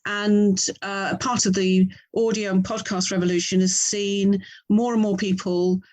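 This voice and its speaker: A woman's voice: mid-range voice, level tone, measured pace, darkish tone. English woman as an interview guest. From England. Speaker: interview guest, writer and audio producer. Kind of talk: casual interview explanation.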